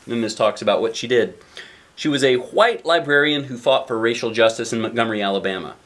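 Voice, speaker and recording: A man with a medium-pitched voice reads a children's book aloud. He is expressive, leaning on important lines, and slips into casual explanations for young listeners. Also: fairly quick. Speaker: picture book reader, dad.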